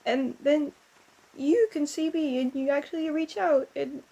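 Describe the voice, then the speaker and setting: Woman acting as a young female ghost, high voice, slow halting delivery, traumatized, lonely, emotional audio drama. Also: warm tone, low-quality recording. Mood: apologetic, sad. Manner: halting, hesitant storytelling with stammered repetitions.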